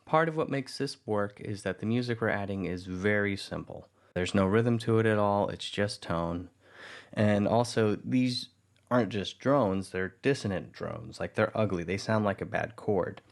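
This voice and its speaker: A man narrating a music-editing tutorial in a relaxed, conversational way. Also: on the low side, normal speed, gravelly. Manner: relaxed, conversational, thinking aloud.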